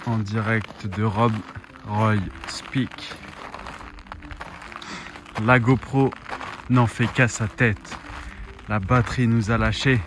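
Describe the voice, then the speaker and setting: A man's voice: lowish voice, steady tone, slow, pauses often, music in the background. A man, talking casually as a travel vlogger. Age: 20s. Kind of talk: casual travel vlog talk and narration.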